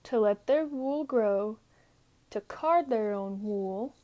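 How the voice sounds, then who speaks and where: A woman narrating a children's story from a script in a measured, deliberate way. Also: mid-range voice, dark tone. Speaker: storybook reader.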